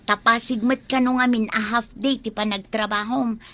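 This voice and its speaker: A woman's voice: a little high, fairly even intonation, moderate pace, a bit bright, lightly acted. A woman acting a hard-up young mother in a radio drama, pleading and plaintive, with an Ilocano accent. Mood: reproachful, weary.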